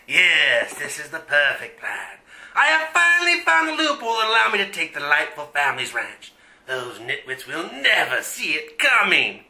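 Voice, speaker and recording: A man's voice: fairly high pitch, wildly swooping pitch, a little slow, pushed and bright, heavily acted. A man playing a pompous, gleefully evil, scheming villain in a parody of a western radio serial. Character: vile scheming melodramatic mustache-twirling male western villain. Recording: roomy echo.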